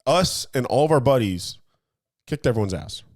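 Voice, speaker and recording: A man's voice: medium pitch, expressive, normal speed. Casual male podcast co-host. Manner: talks in analogies, asks leading questions, frequent restarts. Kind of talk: casual chat.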